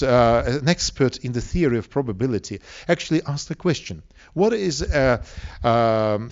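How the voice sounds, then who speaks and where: A man's voice: medium pitch, a little mellow. Russian-accented male preacher, muffled recording. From Siberia, Russia. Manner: deliberate, rhetorical questions, emphatic pauses. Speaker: preacher, evangelistic seminar speaker. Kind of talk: sermon to a live audience.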